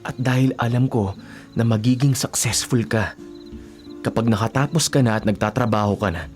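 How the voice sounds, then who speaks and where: A man playing a devoted young working-student boyfriend in a Filipino radio drama, speaking gently, earnestly and reassuringly. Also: mid-range voice, moderate pace, faint music behind, natural voice. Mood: earnest, determined. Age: young adult.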